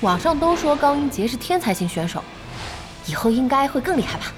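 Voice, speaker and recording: Feisty young woman boss, high voice, lively swings, blunt, expressive, audio drama. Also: loud music behind the voice. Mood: curious. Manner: blunt, expressive, quick to scold. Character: feisty, bossy young female internet cafe boss. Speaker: internet cafe boss.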